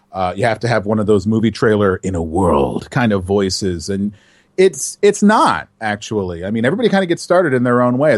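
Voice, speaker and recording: A man who works as a voice actor answers interview questions in a chatty, animated way and laughs easily. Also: medium pitch, no room sound. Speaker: voice actor, guest.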